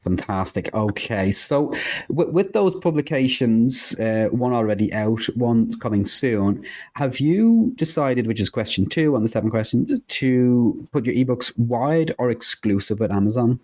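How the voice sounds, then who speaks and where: A man's voice: medium pitch, animated, unhurried, darkish tone. A man co-hosting a podcast, enthusiastic and often stammering over restarts as he asks questions. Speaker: podcast co-host. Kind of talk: podcast interview.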